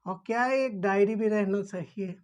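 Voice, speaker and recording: A woman's voice: medium pitch, normal speed, darkish tone. A woman instructor giving an informal explanatory lesson, repeating key points and answering her own questions.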